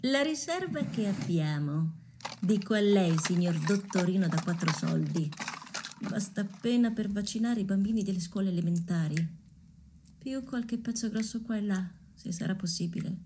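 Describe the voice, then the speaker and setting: An actress playing a prim, haughty young nurse, snobbish and defiant, in an Italian radio drama. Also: female voice, mid-range voice, lively intonation, moderate pace, mellow, a little hiss or hum, natural voice. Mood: scornful, brisk.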